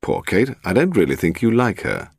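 A man voicing a refined, sharp-tongued wife who teases and frets in a reproachful way. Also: fairly low pitch, wildly swooping pitch, moderate pace, vocal fry, breathy edge, no echo, clean studio sound, voice pushed up, exaggerated voice. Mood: teasing.

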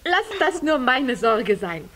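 A woman's voice: sits high, sing-song, slow, a bit bright, heavily acted. An actress playing a plucky, kind-hearted little witch in a children's audio play, sounding confident. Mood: concerned, reassuring.

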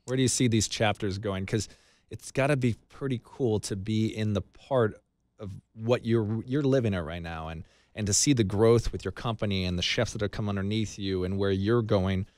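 A man in his thirties with an American accent, interviewing a guest conversationally as an expressive radio host. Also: lowish voice, moderate pace, dry recording. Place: small radio studio. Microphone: broadcast boom microphone, headphones.

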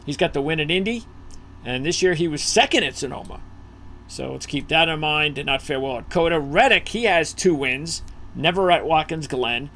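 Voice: mid-range voice, moderate pace, fairly bright tone. A host leading a casual racing betting preview over a video call, thinking aloud with fillers while scanning a list.

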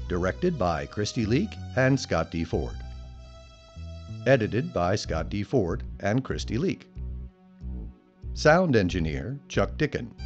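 A man reading scripted credits as an announcer, formal and dramatic. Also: lowish voice. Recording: dull audio under faint music.

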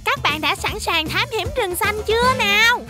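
A woman voicing an eager, friendly childlike fox explorer in a children's cartoon, expressive and addressing young viewers. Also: very high voice, music in the background. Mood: cheerful, eager. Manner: exaggerated, slow and expressive for small children.

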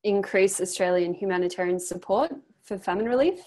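A woman's voice: medium pitch, a little fast, a little mellow. Encouraging young woman leading a group exercise. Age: young adult. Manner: encouraging, affirming. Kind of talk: coaching a group on a video call, reading a prepared pitch aloud. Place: small indoor room, on a group video call. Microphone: computer microphone on a video call.

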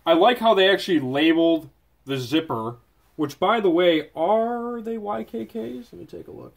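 A man's voice: high-pitched, animated, normal speed, creaky. Chatty man reviewing hiking pants. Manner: chatty, off-the-cuff, with self-corrections. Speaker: product reviewer. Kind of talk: casual product review. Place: indoors at a desk.

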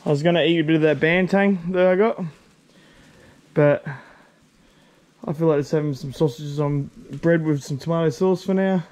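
A young Australian man talking casually to camera as he hunts and camps, often in hushed, muttered asides. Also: a little high, moderate pace, long pauses. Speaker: hunting vlogger. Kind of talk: casual hunting vlog commentary. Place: outdoors in dry bush and on a beach. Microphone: head-mounted action camera. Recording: outdoor noise behind voice.

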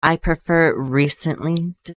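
Tabletop player, mid pitch voice, dry jokes. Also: fairly even intonation, measured pace, fairly bright tone. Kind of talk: playing a tabletop game online.

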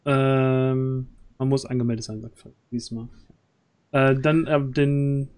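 A man's voice: mid-range voice, flat delivery, slow pace, a little mellow, clean tone. A man, chatting casually as host of a livestream, often searching for words. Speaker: stream host and audio drama organiser. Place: small room at home, on a group video call.

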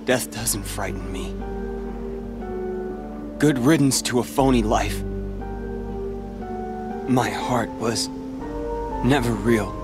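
A man's voice: medium pitch, lightly acted. Brooding teenage boy game hero acted by a man. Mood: weary, resigned. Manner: earnest, brooding, deliberate. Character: brooding, proud teenage boy hero and his tormented replica. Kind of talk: dramatic video game cutscene acting.

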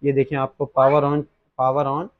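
A man's voice: mid-range voice, completely flat delivery, slow, phone-quality sound. A young man with a Pakistani accent presenting a product unboxing in an explanatory way, mixing in English words. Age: young adult. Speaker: presenter for an online gadget shop. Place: small indoor gadget shop studio at a desk.

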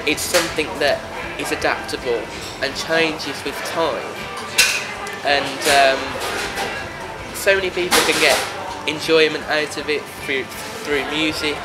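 Man in his twenties, slightly high voice, interview talk, loud music underneath. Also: steady tone, measured pace, low-quality recording. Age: 20s.

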